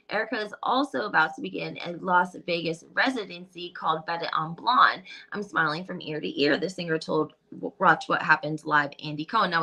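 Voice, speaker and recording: A woman hosting a casual gossip vlog, talking in a crisp, bright tone. She is breezy and chatty, reading headlines aloud and filling gaps with ums and likes. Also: on the low side, low-quality recording. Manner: chatty, breezy, lots of fillers. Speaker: gossip channel host.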